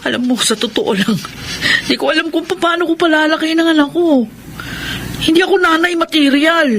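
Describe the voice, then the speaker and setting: A woman's voice: high voice, normal speed, a bit bright. Tomboyish young pregnant woman, blunt n sulky, radio drama, noisy audio. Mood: resigned, troubled. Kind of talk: Filipino radio drama acting.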